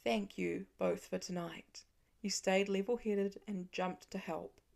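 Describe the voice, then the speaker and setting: Weary family father, gentle and protective, voiced by a woman narrator, storybook dialogue. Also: mid-range voice, fairly even intonation, a little slow, vocal fry. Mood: tender. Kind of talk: audiobook character voice in fan fiction.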